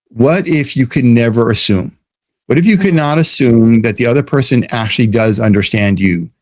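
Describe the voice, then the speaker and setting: A man's voice: mid-range voice, dry recording. A man from the United States, a guest in an interview, explaining ideas thoughtfully and often restarting his sentences. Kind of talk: casual interview talk.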